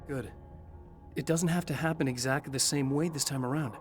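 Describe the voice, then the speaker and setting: A man's voice: medium pitch, steady tone, fairly quick, lightly acted. An actor playing a tense, determined male writer who gives firm, clipped orders in a video game. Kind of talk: video game cutscene acting. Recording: game audio over music.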